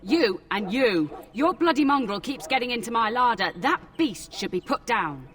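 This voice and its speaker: A woman's voice: on the high side, lively intonation, moderate pace, fairly bright tone. A woman voicing a cranky older castle cook who scolds and blusters, in fantasy video game acting. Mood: furious.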